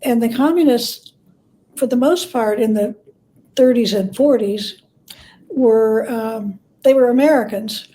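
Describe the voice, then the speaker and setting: A woman speaking as a guest scholar in an interview, explaining things conversationally in halting, thoughtful phrases with self-corrections. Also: a little high, mellow, low-quality recording.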